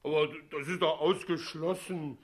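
A man's voice: fairly high pitch, fairly even intonation, moderate pace, lightly acted. An older man acting in a German radio play as an evasive, obsequious old father and official who falters as he speaks. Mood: alarmed, fawning.

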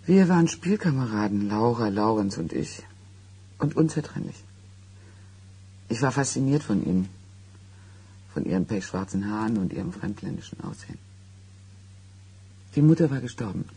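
An actor playing a haunted man in a German radio play, recounting an eerie childhood secret in halting, dramatic phrases, with a husky, gravelly voice. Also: fairly low pitch, expressive, dark tone, exaggerated voice. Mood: grave, remembering. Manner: halting, dramatic storytelling with weighted pauses.

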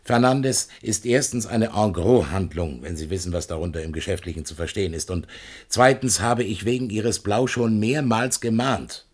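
A man's voice: fairly low pitch, normal speed, darkish tone, slightly rough. An actor playing an evasive, talkative shopkeeper in a German radio play, chatty and patronizing as he placates a customer. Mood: dismissive, reluctant.

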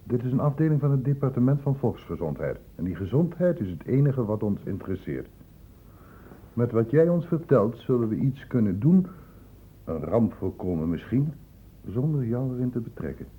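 An old, dull-sounding radio play recording of an insistent doctor. His husky voice moves up and down in a lively way as he patiently questions and explains, pressing with short pointed prompts. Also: mid-range voice, normal speed. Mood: probing, persuasive. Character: probing, patient male public-health doctor questioning a youth. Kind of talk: radio drama acting.

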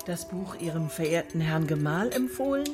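A woman's voice: lowish voice, animated, moderate pace, over music, lightly acted. An actress playing an eager, polite society lady in a radio drama. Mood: gushing, probing. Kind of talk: German radio drama acting.

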